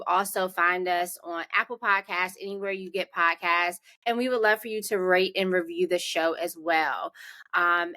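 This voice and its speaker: A woman's voice: mid-range voice, sharp tone. A woman hosting an interview podcast, talking in a conversational introductory style to listeners and her guest. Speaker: podcast host.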